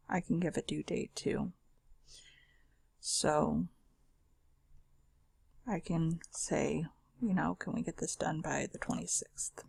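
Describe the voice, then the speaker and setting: A woman talks through a screen demo in a loose, chatty way, with fillers and restarts. Her voice is scratchy and heavily gravelly, with a husky rasp. The sound is close and dry, with no room in it. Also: low-pitched, darkish tone, no room sound. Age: adult. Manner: chatty and informal, with fillers and self-corrections. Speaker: screen-share software demonstrator. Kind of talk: casual screen recording walkthrough.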